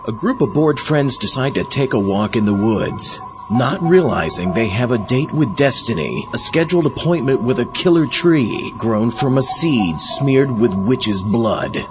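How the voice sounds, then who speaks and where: A tongue-in-cheek zombie horror host played by a man reading scripted comic narration. His medium voice swings theatrically, a bit dull in tone, with music clearly behind. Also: medium pitch, very animated, a little slow. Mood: wry, theatrical.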